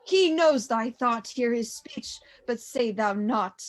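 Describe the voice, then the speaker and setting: Woman acting an eerie slow-speaking witch. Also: on the high side, animated. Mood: ominous. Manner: incantatory, deliberate. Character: eerie, ominous witch chanting over cauldron. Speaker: student performer. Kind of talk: Shakespearean radio play acting.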